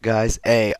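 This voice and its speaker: A young man with a sick, hoarse voice, rambling through casual gameplay commentary with many fillers and restarts. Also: on the low side, a bit bright, no echo. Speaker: gaming commentator.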